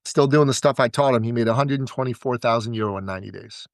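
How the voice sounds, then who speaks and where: A man talking as a podcast guest and business coach, giving an energetic pitch and repeating words for emphasis. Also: quick pace, no echo, clean studio sound.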